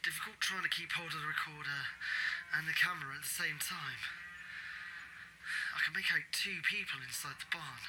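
A man's voice: a little high, moderate pace, lightly acted. A man playing a jittery amateur investigator muttering sweary field notes into a recorder in an audio drama. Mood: watchful. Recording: field recorder with background noise.

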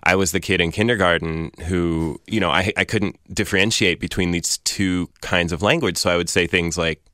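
A young man from Iran with a very low voice talks as a poet guest in an interview. His speech is articulate and searching, full of fillers and rephrasings. The recording is dry and close, with no room sound. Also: fairly even intonation, moderate pace, a bit bright, dry recording. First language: Persian. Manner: articulate, thoughtful, elaborate phrasing with frequent fillers. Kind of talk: reflective interview conversation.

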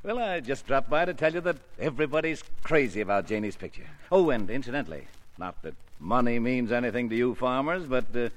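An actor playing a brisk, bantering Hollywood studio man in a 1950s radio drama. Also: mid-range voice, natural voice, rough recording. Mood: genial, breezy.

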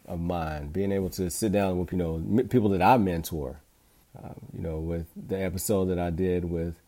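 A man's voice: low voice, dark tone. A middle-aged man hosting a podcast, speaking in an earnest, encouraging way in a reflective motivational monologue. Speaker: podcast host.

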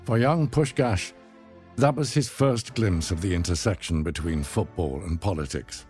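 Deep guy narrating a history podcast, dramatic and measured, music faint behind. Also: a little mellow. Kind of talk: scripted documentary narration.

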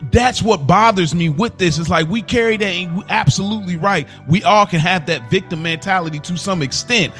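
A man's voice: high voice, fairly quick, hardly any pauses, a bit bright, faint music behind. A man giving impassioned opinions as a podcast panelist, talking in informal slang and repeating himself for emphasis.

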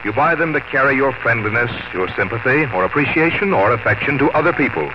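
A man announcing on 1950s radio, reading scripted sponsor copy in a polished, deliberate, earnest way. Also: mid-range voice, expressive. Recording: noisy, dull 1950s radio recording.

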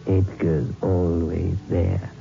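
Deep, creaking, extremely slow horror host. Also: male voice, flat delivery, dark tone, heavily acted. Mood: droll, macabre. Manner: droll, very drawn-out, mock-sympathetic. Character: sinister, wryly macabre horror show host. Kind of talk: 1960s radio horror narration. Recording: tinny old radio recording, music behind.

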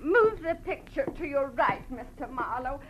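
A fretful, refined woman in a vintage radio mystery. Her voice is high and swoops a lot, with hesitant, melodramatic delivery over faint recording noise. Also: sing-song, normal speed. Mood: anxious, helpful. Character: distraught, genteel, anxious woman friend of victim. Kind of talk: 1940s radio drama acting.

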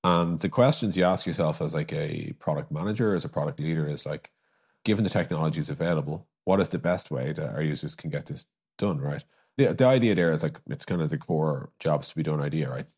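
A man's voice: a bass voice, fairly quick, darkish tone, husky. A man explaining his views informally in a casual business discussion, with frequent asides and examples. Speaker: podcast guest, software company strategist.

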